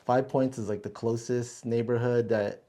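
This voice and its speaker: A man's voice: mid-range voice, steady tone, normal speed, dark tone. An American man hosting a casual interview in a small studio, speaking in a laid-back, informal way. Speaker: interview host.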